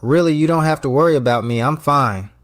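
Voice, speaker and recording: A man's voice: on the high side, fairly quick, dry recording, clean studio sound, voice pushed up, close to an everyday voice. A man voicing a proud, guarded, stressed restaurant owner in an audio story. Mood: tense, dismissive.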